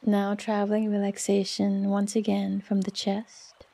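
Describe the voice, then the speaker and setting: A woman leading a guided relaxation meditation in a soft, soothing, unhurried manner with long pauses. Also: medium pitch, monotone, vocal fry. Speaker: yoga nidra meditation guide.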